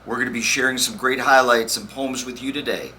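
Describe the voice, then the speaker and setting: Male host for a reading, extremely bright forceful voice, excited, faint noise behind. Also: medium pitch, a little fast, rough recording. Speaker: host introducing a poetry reading. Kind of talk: event introduction.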